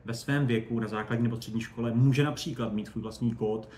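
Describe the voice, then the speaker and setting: A man's voice: medium pitch, steady tone, darkish tone, a little hiss or hum. A man giving conversational, enthusiastic and discursive answers as a guest expert on spaceflight.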